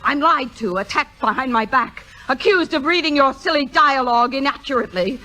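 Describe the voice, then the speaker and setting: An actress playing a proud, sharp-tongued, middle-aged stage star in theatrical 1950s radio drama style. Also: female voice, sits high, lively intonation, brisk. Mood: scornful fury. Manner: sarcastic, theatrical, grand.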